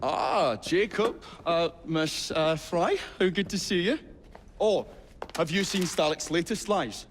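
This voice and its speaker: A man's voice: high-pitched, slow pace, big-room sound. An actor playing an earnest, modest Victorian inventor in a video game, courtly and a little hesitant in his speech. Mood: flustered, earnest.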